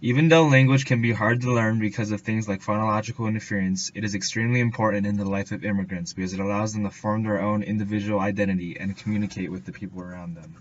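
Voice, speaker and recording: A man's voice: lowish voice, steady tone, quick pace, few pauses, lo-fi sound. A man, a student presenter, reading scripted narration of a school report aloud.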